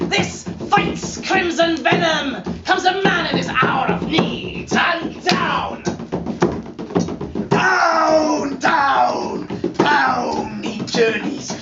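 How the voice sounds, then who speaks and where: A storyteller giving a dramatic, incantatory poetry reading, theatrical in delivery, swinging between hushed passages and loud cries. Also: high-pitched, lively intonation, extremely slow, creaky, over loud music. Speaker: storyteller performing a charm. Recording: echoey, dull distant live recording, loud drumming. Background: loud drumming.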